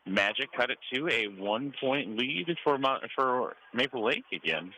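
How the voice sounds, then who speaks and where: A man's voice: mid-range voice, lively intonation, unhurried. Man calling live volleyball over gym noise. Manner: clipped running calls with brief asides. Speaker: radio play-by-play sports announcer. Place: courtside at the end of a gym during a match. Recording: clear gym noise behind live radio call. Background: noisy gym ambience.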